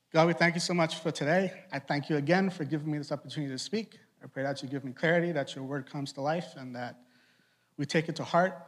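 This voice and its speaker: A young man from Trinidad with a Trinidadian accent touched by Boston, preaching a casual, conversational youth sermon as a ministry director. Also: on the high side. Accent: Trinidadian, with Boston influence. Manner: conversational, asks rhetorical questions, quick asides.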